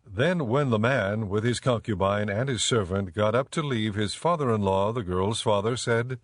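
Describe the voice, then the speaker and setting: A man giving measured, solemn scripted narration for a dramatized Bible audiobook. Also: on the low side.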